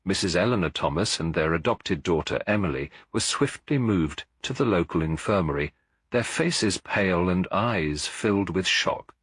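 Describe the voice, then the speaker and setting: Male narrator for mystery audiobook: low, slightly husky, measured storytelling. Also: lively intonation, fairly bright tone, no room sound, studio quality.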